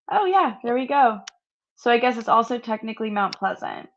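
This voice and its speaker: A woman co-hosting a real estate webinar, chatty and relaxed, her voice a little hoarse from a cold. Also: fairly high pitch, normal speed, muffled recording. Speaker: marketing co-host. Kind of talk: casual webinar chat.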